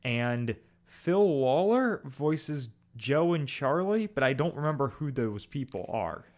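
A man's voice: medium pitch, sing-song, slow pace, darkish tone. A young man co-hosting a casual podcast, chatty and joking. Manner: chatty, with frequent ums and jokes. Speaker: podcast co-host.

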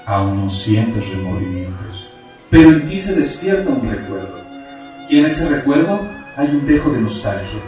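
A man's voice: medium pitch, very animated, unhurried, darkish tone. A man acting as God's angelic messenger in a radio play, solemn and pleading, with declamatory, preaching speech. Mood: grave, persuasive. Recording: very echoey, distant, music underneath.

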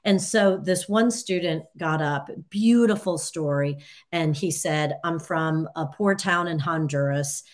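A woman's voice: low voice, a little slow. A woman from the United States, an interview guest, telling personal stories expressively and acting out quoted dialogue. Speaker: interview guest, university teacher. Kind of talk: personal storytelling in conversation.

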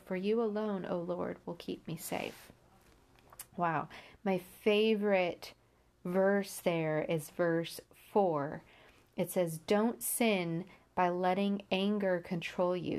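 A woman's voice: low-pitched, darkish tone. A woman with a stuffy nose from allergies, hosting a Bible podcast, reading scripture aloud and then reflecting on it earnestly, with frequent restarts.

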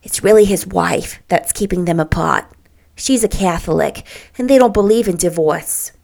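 A woman's voice: lowish voice, normal speed, vocal fry, darkish tone. A woman voicing a gossipy, worldly young woman in a storybook, confiding and emphatic as she chats.

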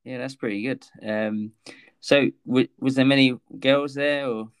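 A man's voice: medium pitch, fairly even intonation, a little slow, smooth, no room sound. A man hosting a gym podcast, laid-back and rambling, with many fillers. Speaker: podcast host. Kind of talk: casual interview.

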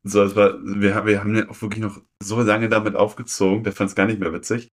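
Low-voiced young man, chatting casually. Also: level tone, fast talker. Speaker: podcast co-host. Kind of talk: casual chat, personal storytelling.

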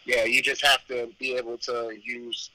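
A man's voice: mid-range voice, monotone, moderate pace, bright, forceful tone, slight background noise, rough recording. A man teasing his friend in playful, slangy podcast banter. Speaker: podcast co-host.

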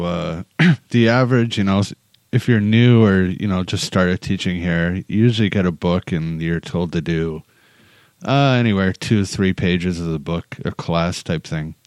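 A man's voice: sits low, a little mellow, dry recording, studio quality. A man in a casual chat about teaching, with a laid-back, joking, rambling way of talking. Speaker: English teacher in Korea, talk show speaker.

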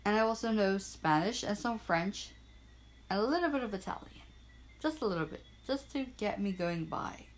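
A young woman from Bermuda hosting her own small podcast, chatting in an upbeat, self-deprecating way and spelling things out slowly. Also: medium pitch, expressive, slight background noise. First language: Portuguese and English bilingual. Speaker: podcast host. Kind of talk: casual solo podcast chat. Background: frogs and crickets at night.

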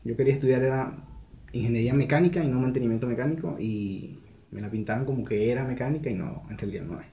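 A man's voice: mid-range voice, fast, mellow, echoey room. A young man from Venezuela with a Venezuelan accent, answering interview questions in an earnest, reflective way. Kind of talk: conversational interview.

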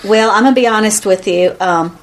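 A woman from Georgia with a Southern accent, a comedian and singer telling lively stories with quick comic asides in a living room interview. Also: mid-range voice. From Georgia, USA.

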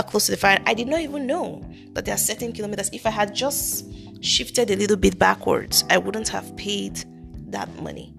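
A woman's voice: mid-range voice, vocal fry. A woman with a thick Nigerian accent, hosting her own podcast in a chatty, earnest way, with frequent ums and restarts. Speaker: podcast host, immigration consultant. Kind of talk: casual solo podcast talk.

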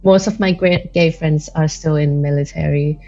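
A woman's voice: deep voice, steady tone, moderate pace, dark tone, muffled recording, soft background music. A twenty-one-year-old woman from Thailand, an English speaker, talking thoughtfully as an interview guest and searching for her words. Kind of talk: casual interview talk.

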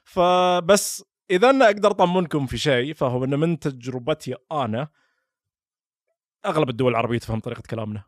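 A young Saudi man from Al-Ahsa with a Hasawi accent, a podcast guest who talks casually with quick restarts. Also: fairly high pitch, animated, no echo, clean studio sound. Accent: Hasawi Saudi Arabic. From Saudi Arabia (Al-Ahsa). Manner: quick, halting, with frequent restarts and short laughs. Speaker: podcast guest, content creator. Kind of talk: casual interview talk.

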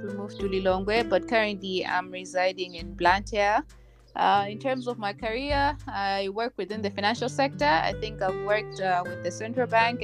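Thoughtful woman in her thirties from Malawi. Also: mid-range voice. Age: 30s. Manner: measured, explanatory, with frequent fillers. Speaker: guest, finance executive. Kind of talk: podcast interview.

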